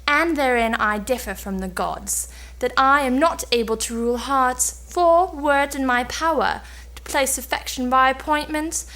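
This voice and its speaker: Bright, high-pitched boastful old sorceress read by a woman. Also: normal speed, poor audio. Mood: proud, solemn. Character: boastful old sorceress, hoary-haired, cunning. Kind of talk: cold-read amateur play reading of Elizabethan comedy.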